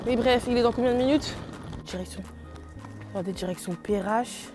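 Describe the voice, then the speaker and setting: A woman's voice: on the high side, fairly quick, darkish tone. A young woman from France talks into her phone camera in loose, rambling vlog chatter, filled with giggles and little fillers. She sounds a bit stuffed up from a cold. Light music runs underneath. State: has a cold. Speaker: vlogger. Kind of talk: casual vlog talk.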